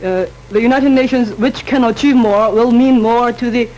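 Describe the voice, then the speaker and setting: A man's voice: very high voice, steady tone, moderate pace, darkish tone, muffled recording. A teenage boy, a student debater in a panel debate who speaks hesitantly, with many fillers and restarts. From Korea. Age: teens.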